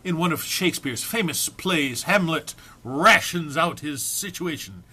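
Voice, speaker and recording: Animated, bright-voiced man reading dramatically. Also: fairly high pitch, slow, breathy edge. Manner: theatrical, often breaking into amusement and stumbling. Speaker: amateur reader. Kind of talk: dramatic reading of a humorous text.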